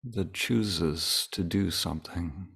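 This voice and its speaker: Very slow, low-voiced man guiding meditation. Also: creaky, husky. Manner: calm, deliberate, long pauses between short phrases. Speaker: meditation teacher leading a guided meditation. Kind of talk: guided meditation.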